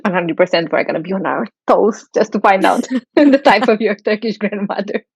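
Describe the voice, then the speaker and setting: A woman hosting a podcast, asking questions in a soft, thoughtful way. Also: mid-range voice, moderate pace, creaky, husky, no room sound. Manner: soft, thoughtful, warm questioning with light laughs. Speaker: podcast host. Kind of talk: podcast interview. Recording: slightly dull lo-fi sound.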